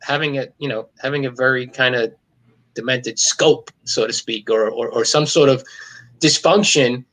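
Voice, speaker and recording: A man's voice: medium pitch, normal speed, poor audio. A middle-aged man from New York, a stand-up comedian, joking dryly and cynically in a casual interview over a video call. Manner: wisecracking, cynical, deadpan jokes.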